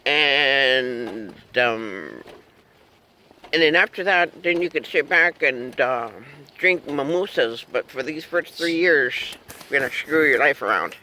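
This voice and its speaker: A man's voice: medium pitch, lively intonation, slow pace, bright, forceful tone, slight background noise, rough recording. A man in his fifties chatting in a car in a rambling, joking way, chuckling and humming silly tunes. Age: 50s. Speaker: vlogger. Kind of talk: rambling casual chat.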